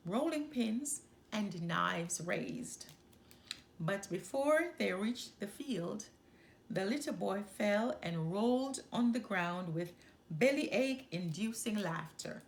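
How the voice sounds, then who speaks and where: Woman, children's storyteller, very slow pace, lively swooping intonation, deliberate read-aloud phrasing. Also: mid-range voice. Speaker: storyteller, channel host.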